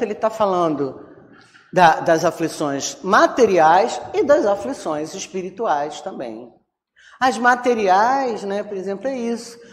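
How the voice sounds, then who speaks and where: A woman with a Rio de Janeiro Brazilian accent gives an informal religious talk, conversational and full of check-in questions to her listeners. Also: on the high side. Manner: conversational, acts out inner complaints, frequent check-in tag questions. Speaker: Spiritist lecturer. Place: reverberant hall, public talk. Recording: long hall reverberation.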